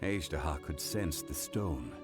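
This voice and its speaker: An actor playing an ancient god in human form in a video game, measured, formal and calmly wistful. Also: male voice, a bass voice, over loud music. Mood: grave, wistful. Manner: measured, formal, calm, grave.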